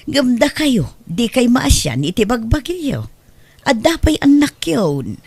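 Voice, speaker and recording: Woman doing a husky low auntie nagging away, really swoopy voice, dead dry sound. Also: sing-song, measured pace, a little mellow, dry recording, exaggerated voice. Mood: scolding. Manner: reproachful, scolding. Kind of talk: radio drama acting.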